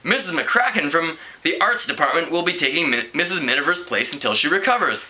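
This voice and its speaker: Storybook principal read by a man: helpful, reassuring, plain delivery, forceful bright tone, slightly high. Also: expressive, fairly quick. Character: kindly, eager-to-help adult male school principal.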